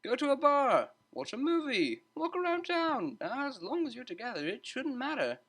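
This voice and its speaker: A narrator putting on an excitable high-pitched pony tutor. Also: normal speed, full character voice. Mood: breezy. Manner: animated, patient lecturing. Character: excitable, meddling male pony psychology tutor, amused and cheerful.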